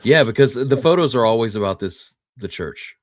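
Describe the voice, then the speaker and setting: A man's voice: medium pitch, lively intonation, moderate pace, no echo, clean studio sound. A man co-hosting a casual chat, speaking informally with short reactions and questions. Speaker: co-host.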